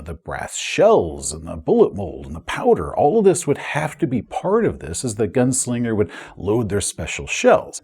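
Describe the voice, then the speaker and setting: A man's voice: mid-range voice, mellow, slightly rough. A man telling viewers a retrospective in a conversational, emphatic storytelling way, as an author hosting his own channel. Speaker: novelist and YouTube host.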